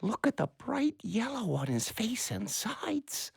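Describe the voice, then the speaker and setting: Low husky voice, unhurried gentle parent, expressive swings, audio play. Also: female voice, dry recording, clean studio sound, exaggerated voice. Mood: delighted. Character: gentle, bird-loving parent, unhurried and affectionate. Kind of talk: naturalistic audio drama acting.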